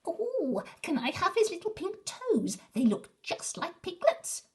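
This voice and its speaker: Woman as a high, squeaky, boastful little wolf. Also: big pitch swings, unhurried, full character voice. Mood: eager. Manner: squeaky, blustering, childish. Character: small eager boastful male storybook wolf.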